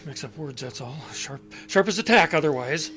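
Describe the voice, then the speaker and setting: A man's voice: a little high, expressive, a bit bright, slight background noise. A stammering, confused old man. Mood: flustered denial. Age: older. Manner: halting, stammering, repeating words. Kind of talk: audio drama acting.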